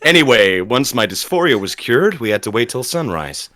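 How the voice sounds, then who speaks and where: A man's voice: medium pitch, very animated, normal speed, pushed and bright. A man playing an adventurer narrating a magical audio drama tale in a put-on American accent. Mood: storytelling. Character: wizard's companion narrating their dog transformation in magic audio drama.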